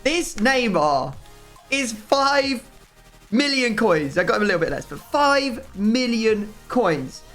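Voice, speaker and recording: A man hosting a gaming video, hoarse from shouting, excitable and groaning with laughing disbelief. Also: high voice, slow pace, soft background music. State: hoarse, voice strained. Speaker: YouTube gaming host. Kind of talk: casual gaming commentary.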